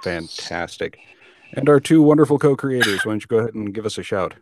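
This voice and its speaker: A man guest hosting a casual panel interview, chatty and a little halting as he puts questions. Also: on the low side, lively intonation, background noise. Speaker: guest podcast host.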